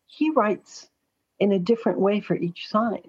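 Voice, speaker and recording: A woman's voice: mid-range voice, expressive, measured pace, a little mellow, breathy edge. An American woman in middle age or older, a forthright and chatty interview guest telling anecdotes conversationally. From Los Angeles, United States. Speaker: astrologer and columnist, interview guest. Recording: slightly dull, lo-fi audio.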